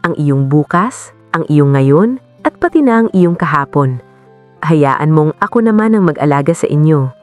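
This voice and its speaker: A woman voicing a devoted male suitor in a romantic story, speaking with gentle, patient sincerity. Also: sits low, expressive, normal speed, faint music behind, pitched below the performer's own voice. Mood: sincere devotion.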